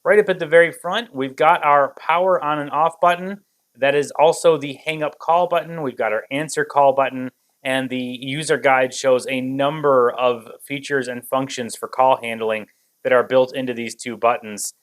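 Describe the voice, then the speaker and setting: A man's voice: medium pitch, steady tone, moderate pace, fairly bright tone. Man giving a step-by-step product walkthrough. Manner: explanatory, step-by-step. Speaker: product reviewer.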